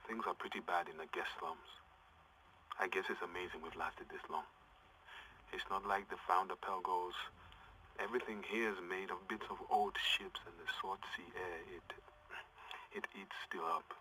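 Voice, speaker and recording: An actor playing an embittered, proud young working-class welder, confiding an audio diary in a halting way that can swell into defiance. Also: male voice, low-pitched, steady tone, sharp tone, a little hiss or hum, rough recording. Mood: wistful. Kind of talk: in-character audio diary monologue.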